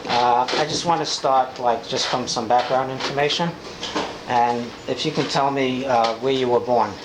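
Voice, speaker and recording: A man's voice: mid-range voice, steady tone, moderate pace. A man interviewing someone informally, asking short questions and repeating answers back to prompt the speaker. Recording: echoey, noisy room recording.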